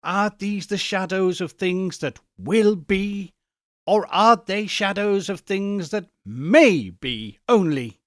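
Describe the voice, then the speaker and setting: A man's voice: high voice, expressive, slow pace, no echo, studio quality, voice pushed up. A man voicing a frightened old miser who begs a ghost for answers, earnest and beseeching in an audiobook reading. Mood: fearful begging. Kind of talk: audiobook character voice.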